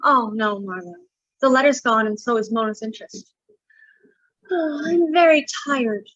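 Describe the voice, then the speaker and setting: A woman's voice: fairly high pitch, a little slow, a bit bright, dry recording, muffled recording. An actress playing a sassy, scheming woman with a mouthy, sarcastic manner in 1940s radio detective style. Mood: jaded, sarcastic. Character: sassy, scheming, jaded female double-crosser.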